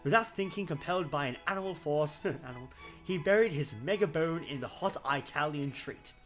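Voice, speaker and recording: A man's voice: on the high side, fairly quick, fairly bright tone, soft background music. A man narrating a comedic story reading in a theatrical, mock-dramatic manner. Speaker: fan fiction reader.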